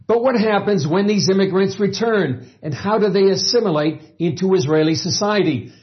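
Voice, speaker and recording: A news anchor reads a scripted introduction in a measured, even way. His voice is high for a man. The sound is dull and muffled, like an old broadcast clip.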